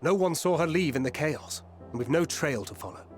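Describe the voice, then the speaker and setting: A shrewd fantasy game adventurer, acted by a man, slightly high in pitch. He sounds serious and analytical, with faint music underneath. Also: expressive, fairly quick, close to an everyday voice. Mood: serious, concerned. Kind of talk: scripted fantasy game acting.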